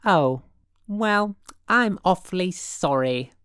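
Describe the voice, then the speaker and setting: A woman's voice: fairly low pitch, expressive, slow, fairly bright tone, smooth, no echo, studio quality, pitched above the performer's own voice. A woman voicing a brassy working gal in an audiobook, slangy and suspicious, with affectedly genteel airs. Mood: flirty, gracious.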